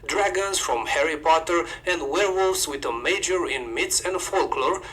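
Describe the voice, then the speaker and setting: Romanian-accented man, mythology narrator, bright and slightly high voice, upbeat scripted read. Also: moderate pace, poor audio.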